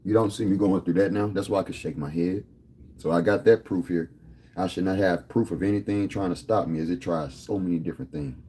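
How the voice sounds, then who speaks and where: Deep-voiced man in his thirties, rambling boastfully. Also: fairly quick, mellow, a little hiss or hum. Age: 30s. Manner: boastful, rambling, street slang. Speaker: vlogger. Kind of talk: casual monologue to camera.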